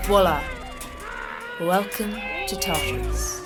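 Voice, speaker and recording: An actress playing the terse, sardonic manager of a secret facility, with a theatrical way of delivering short remarks. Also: female voice, medium pitch, slow, a loud crowd, natural voice. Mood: sardonic, showy. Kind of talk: scripted audio drama dialogue. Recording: loud music behind.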